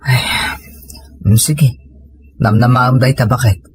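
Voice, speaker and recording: A man's voice: medium pitch, steady tone, slow pace, breathy edge, no echo. A man with a Filipino accent acting a cheeky, money-minded old family man in an audio comedy drama. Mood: soft, reassuring.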